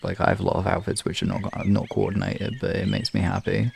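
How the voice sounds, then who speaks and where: A man with a lowish voice full of heavy vocal fry chats informally on a livestream. He talks in halting, rambling phrases and swears casually. The home recording carries some faint noise. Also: flat delivery, a little mellow. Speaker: streamer chatting with live viewers. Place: room in an apartment. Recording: clearly noisy home room recording.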